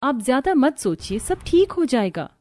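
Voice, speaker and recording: A woman voicing a gentle, anxious young wife in a cartoon family drama. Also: sits high, big pitch swings, moderate pace, dry recording. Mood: soothing.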